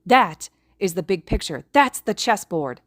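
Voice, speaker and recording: A woman's voice: medium pitch, very animated, measured pace. A woman voicing a tense young man who argues with cold stillness and then screams in a fan audiobook. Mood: furious.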